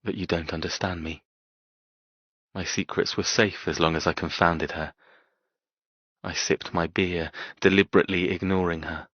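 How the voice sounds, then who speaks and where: A man narrating a dark romance audiobook in the first person as a cold, brooding, tormented man, terse and intense. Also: deep voice, no room sound, highs cut off. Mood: cold, guarded.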